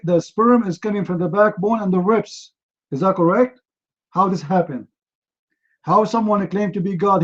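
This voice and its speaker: A man whose first language is Arabic, hosting a heated online debate, combative and mocking, pressing his opponent again and again. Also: sits high. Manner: combative, mocking, repeats demands. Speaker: debate host. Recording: dull lo-fi stream audio.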